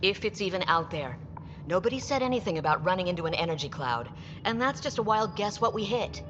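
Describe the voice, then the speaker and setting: A woman's voice: mid-range voice, lively intonation, a little fast, background noise, lightly acted. Casting: female actor as assertive game starship captain, protective, firm, reverberant muffled sound. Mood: firm, exasperated. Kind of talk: sci-fi video game acting. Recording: muffled, reverberant, loud music behind.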